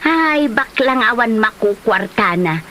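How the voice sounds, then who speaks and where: A woman's voice: on the high side, animated, a little slow, sharp tone, a little hiss or hum. A woman with an Ilocano Filipino accent playing a gossipy, mocking village wife in a comedy drama.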